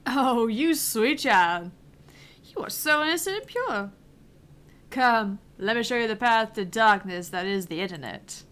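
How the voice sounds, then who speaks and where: A woman's voice: a little high, lively intonation, a little slow, fairly bright tone. A woman voicing a brash, cocky, foul-mouthed female game streamer in a visual novel, with taunting, theatrical delivery. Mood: cocky teasing. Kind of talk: visual novel read aloud in character.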